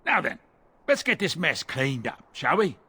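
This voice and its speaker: A man's voice: fairly high pitch, sing-song, a little slow, background noise. An actor playing a jovial, talkative dwarf merchant with folksy salesman patter in a video game. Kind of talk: video game cutscene dialogue.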